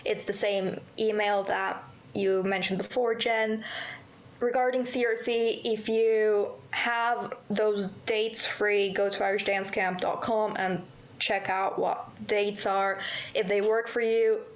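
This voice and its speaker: A woman's voice: medium pitch, fairly even intonation, slow. A woman from Mexico, a native Spanish speaker with a British accent, answering as an interview guest over the phone, friendly and enthusiastic. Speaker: guest, dance camp counselor. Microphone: phone call.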